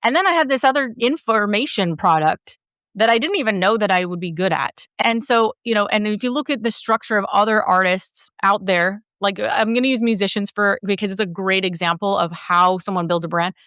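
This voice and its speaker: A woman's voice: mid-range voice, a little fast, fairly bright tone, no echo, clean studio sound. A middle-aged woman coaching a guest, talkative and encouraging, explaining with examples. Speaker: business coach and host. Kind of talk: coaching conversation.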